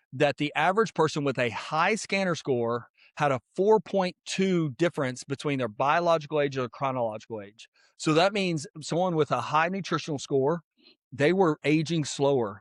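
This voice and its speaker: A man of fifty, hosting a podcast in a casual, energetic monologue full of "like" and repeated points. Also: a little high, no echo, studio quality. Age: 50s. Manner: energetic, repetitive, filler-heavy persuasive talk. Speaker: podcast host.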